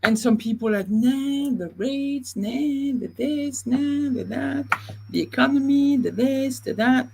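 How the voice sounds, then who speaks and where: A chatty woman with a slightly high voice co-hosts a casual real estate talk. She laughs easily and often trails off mid-thought. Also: measured pace, vocal fry, mellow, slightly rough. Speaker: real estate podcast co-host. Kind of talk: casual podcast chat.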